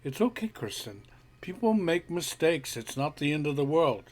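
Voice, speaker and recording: A man voicing a calm skeptical adult explainer. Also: on the high side, normal speed. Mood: reassuring. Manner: soothing, reasoning, lecturing. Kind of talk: audio short story reading.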